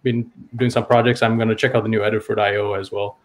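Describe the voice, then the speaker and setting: A man's voice: fairly low pitch, flat delivery, fast talker. A man from Fiji talking casually and enthusiastically, as a guest at a show-and-tell. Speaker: show-and-tell guest, electronics hobbyist and engineer.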